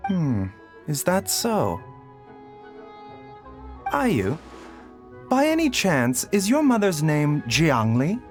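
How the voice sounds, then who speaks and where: A gentle, composed doctor in a video game. The voice is fairly high for a man and moves very widely in pitch, courteous and formal, with faint music behind. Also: wildly swooping pitch, drawn-out words, long pauses, full character voice. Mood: thoughtful, kindly. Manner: polite, soft-spoken, formal phrasing.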